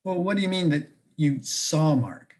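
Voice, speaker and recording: A woman's voice: low-pitched, animated, normal speed, darkish tone. A woman acting a prying, incredulous close friend in a conversational comic one-act, with teasing, probing questions.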